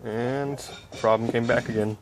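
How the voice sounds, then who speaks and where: A man's voice: medium pitch, steady tone, normal speed, noisy background. A man giving a casual, informal update on a hands-on project, explaining things step by step as he works. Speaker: electronics hobbyist.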